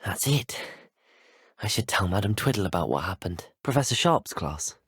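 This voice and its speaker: Polite, low-voiced British student wizard. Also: male voice, big pitch swings, fairly bright tone, slightly rough, dry recording, clean studio sound, exaggerated voice. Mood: polite, inquisitive. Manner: polite, well-spoken, measured. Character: polite, earnest teenage male student wizard, curious and helpful. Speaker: video game player character. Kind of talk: scripted game voice acting.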